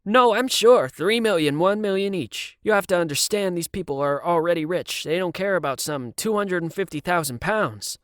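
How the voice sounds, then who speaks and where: A man's voice: sits high, expressive, normal speed, few pauses, no room sound, studio quality, voice pushed up. A man reading aloud and voicing a nervous, evasive male TV producer. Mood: insistent.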